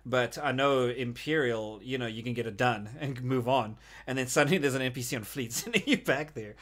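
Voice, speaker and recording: A man hosting a relaxed group discussion about a game, speaking conversationally. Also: mid-range voice, a little fast. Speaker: host of a group discussion show. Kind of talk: casual gaming roundtable talk.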